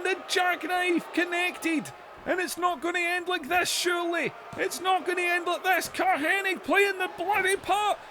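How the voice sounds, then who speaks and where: An excitable man calls a wrestling video game match in a high voice, drawn out and unhurried between bursts of shouting. His voice is wearing hoarse, and a faint hiss of noise sits under the recording. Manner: excitable, shouts and laughs at big moves. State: voice going hoarse.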